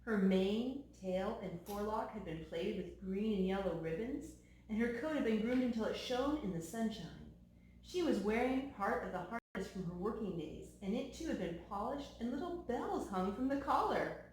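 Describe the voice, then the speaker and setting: A woman storyteller reads a children's book aloud with plenty of expression. Her voice sits at an average pitch. The room is very echoey, with faint noise underneath. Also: animated, moderate pace, darkish tone.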